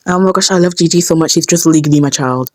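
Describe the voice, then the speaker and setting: A woman's voice: deep voice, fast, dry recording, faint music behind. A woman giving casual behind-the-scenes commentary, muttering asides to herself as she works. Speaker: amateur voice actor and vlogger.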